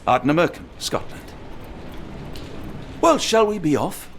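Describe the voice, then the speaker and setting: An actor playing a loyal, steadfast companion in a video game, speaking earnestly and formally with a British accent. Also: male voice, on the high side, big pitch swings, unhurried, gravelly, slight background noise, heavily acted. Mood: reassuring. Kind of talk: dramatic video game voice acting. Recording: music behind the voice. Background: game music.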